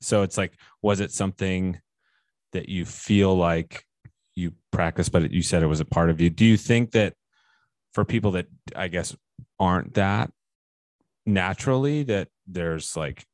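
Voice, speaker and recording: A man with a low voice co-hosts a podcast. He puts his questions casually, in everyday conversational speech, and the sound is dry without any room around it. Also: normal speed, a little mellow, no room sound. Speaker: podcast co-host.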